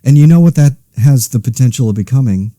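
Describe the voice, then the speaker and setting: A man giving a casual, rambling mock news commentary in a wry, deadpan, self-interrupting way, as the host of a satirical podcast. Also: mid-range voice, quick pace, dry recording, clean studio sound.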